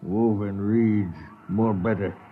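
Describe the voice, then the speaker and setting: Slow-talking alien spider, tinny old recording. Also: male voice, on the low side, steady tone, a little hiss or hum. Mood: placid, obliging. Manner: broken pidgin speech, clipped phrases. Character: alien spider native, meek, wheedling, begging for sugar. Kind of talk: 1950s radio drama acting.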